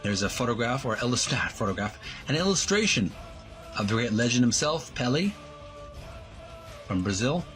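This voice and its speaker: Male channel host, medium-pitched, halting read-aloud walkthrough with self-corrections, music underneath. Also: fairly bright tone. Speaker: host of a retro video game channel.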